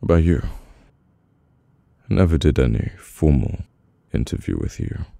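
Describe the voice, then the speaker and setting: A man with a British accent playing a brooding, guarded mafia boss in an intimate, halting, murmured ASMR roleplay. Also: a bass voice, expressive, gravelly, dark tone, no echo, heavily acted. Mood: musing, probing.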